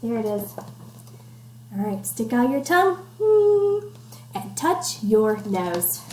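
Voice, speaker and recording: Slow, sing-song woman reading to children. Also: on the high side, big pitch swings, darkish tone. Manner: encouraging, addresses children directly with questions and instructions. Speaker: teacher reading aloud to children. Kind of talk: interactive children's picture-book read-aloud. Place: at home, indoors. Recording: echoey room with faint background noise.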